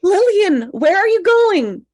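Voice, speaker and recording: A woman's voice: very high-pitched, sing-song, slow, a little mellow, heavily acted. A woman playing a hapless, defiant single woman in a comedy table read, conversational and falsely breezy. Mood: alarmed.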